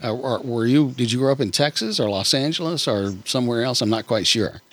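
A middle-aged man speaks as a podcast host in a conversational interview. He has a medium-pitched voice and works his way through questions in a halting, roundabout manner.

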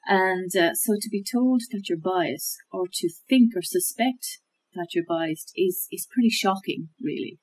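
A woman talking through how to check information, in a measured, thoughtful way with many fillers and restarts. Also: lowish voice, a little mellow, a little hiss or hum, rough recording. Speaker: guest expert on media literacy. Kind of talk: conversational explanation.

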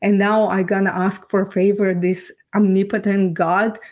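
Even-toned woman with a Ukrainian accent. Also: medium pitch, moderate pace. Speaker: podcast guest. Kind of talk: personal testimony storytelling.

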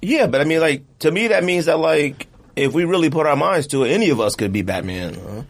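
Lively male podcast host, swinging pitch. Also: fairly quick, gravelly. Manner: animated, repeats himself for emphasis. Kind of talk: casual podcast banter.

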